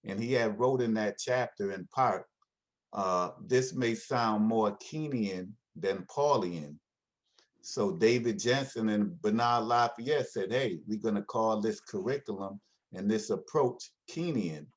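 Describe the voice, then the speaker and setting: A man's voice: lowish voice, measured pace. A man explaining ideas about nonviolence in an earnest, conversational way, speeding up when he presses a point. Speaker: nonviolence trainer. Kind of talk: explanatory talk.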